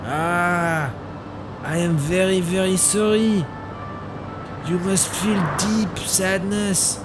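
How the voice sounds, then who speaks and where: High, unhurried foreign cabbie. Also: male voice, fairly even intonation. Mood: sympathetic. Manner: non-native English, earnest, gently musing. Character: friendly, philosophical foreign taxi driver. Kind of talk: serial radio drama. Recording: clearly noisy street and car ambience.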